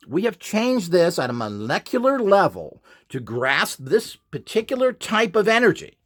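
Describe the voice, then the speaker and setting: A man giving an informal instructional monologue in a blunt, opinionated, emphatic and rambling way. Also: a little high. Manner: opinionated, blunt, scornful of rivals. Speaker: presenter selling upgrade equipment.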